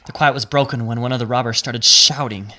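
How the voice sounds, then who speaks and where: A man's voice: medium pitch, fairly even intonation, sharp tone. A man playing a grieving, shaken robbery survivor giving emotional testimony in an audio drama. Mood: grave, narrating. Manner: halting, emotional testimony turning to storytelling. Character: shaken, grieving male bank robbery witness, prickly, raw. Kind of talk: audio drama acting, first-person narration.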